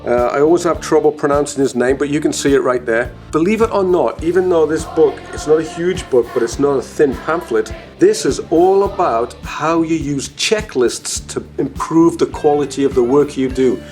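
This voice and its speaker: A man's voice: a little high, moderate pace, hardly any pauses, darkish tone, soft background music. A man, a company president, talking casually and enthusiastically to his colleagues in a video message. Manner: chatty, enthusiastic, frequent "uh" fillers.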